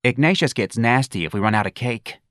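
A man's voice: mid-range voice, lively intonation, a little fast, a bit bright, no echo, clean studio sound, pitched above the performer's own voice, lightly acted. Man doing a weary, complaining New mama. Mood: weary, plaintive. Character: weary complaining working-class New Orleans mother.

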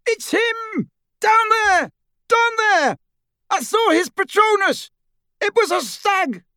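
A menacing hooded henchman of a dark wizard. The voice leaps far up into a high, strained yell. He calls out drawn-out taunts as he hunts his prey. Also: lively intonation, dry recording, clean studio sound, exaggerated voice. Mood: excited alarm. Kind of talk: audiobook character voice.

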